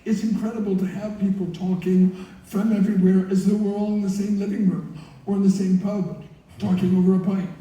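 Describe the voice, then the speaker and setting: A man's voice: high-pitched, level tone, a little fast, warm tone. A man answering questions as a guest in a live interview, speaking in an earnest, enthusiastic way. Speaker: guest, listener group founder. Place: heard through theatre speakers by live link. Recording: very echoey hall, far from mic.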